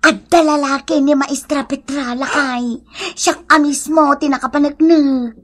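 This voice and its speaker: A woman with a northern Philippine accent playing a nosy, sharp-tongued housewife in a comedy radio drama. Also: high-pitched, fairly even intonation, measured pace. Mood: smug gossiping. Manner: gossipy, sardonic, exclamatory.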